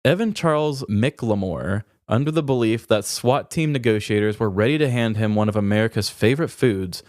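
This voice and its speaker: A man's voice: lowish voice, normal speed, darkish tone, dry recording. Dry-recorded man, wry podcast co-host. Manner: wry, joking. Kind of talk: casual comedic podcast chat.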